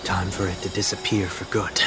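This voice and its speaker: An actor playing a dignified winged man who was once a leader of the elite, speaking in a formal, measured, solemn way over very loud game music. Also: low voice, level tone, lightly acted. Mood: composed. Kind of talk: game voice acting.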